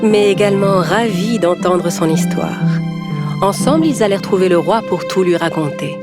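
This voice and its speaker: Lady reading a kids fairy tale as narrator, mid voice, loud music under it. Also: medium pitch, moderate pace. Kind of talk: scripted children's storytelling.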